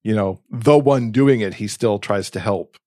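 A man's voice: on the low side, unhurried, a little mellow, no room sound, studio quality. A man chatting casually as a podcast co-host, speaking in a reflective, earnest way.

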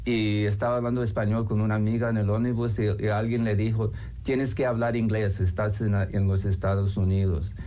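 A man's voice: on the low side, little pitch movement, a little fast, mellow. Chatty male interview host. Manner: chatty, anecdotal. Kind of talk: casual interview.

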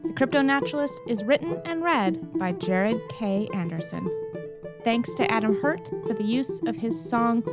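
Lady reading show credits from a script, voice a bit high, loud plucked string music behind. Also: animated, moderate pace.

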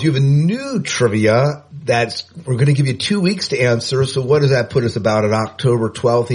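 A man co-hosting a podcast in casual chat, easygoing and self-deprecating, often trailing off quieter. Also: on the low side, breathy edge. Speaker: podcast co-host.

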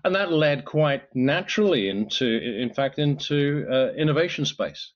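A man's voice: mid-range voice, lively intonation, a little slow. A man with a British accent, a guest in a podcast interview, speaking thoughtfully with frequent restarts. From United Kingdom. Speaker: guest, solutions architect. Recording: slightly dull lo-fi audio.

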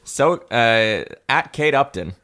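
A man presenting a tweet segment on a comedy podcast, hesitant and deadpan as he teases the hosts. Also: mid-range voice, slow. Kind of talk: comedy banter.

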